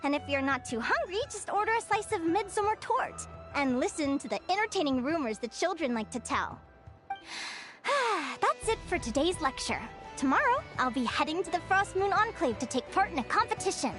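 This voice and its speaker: A woman's voice: extremely high pitch, very animated, normal speed, a bit bright, faint music behind, heavily acted. A woman voicing a bubbly, curious young female guild advisor in a video game, with a light girlish voice and a chirpy, gushing manner. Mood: cheerful, lecturing.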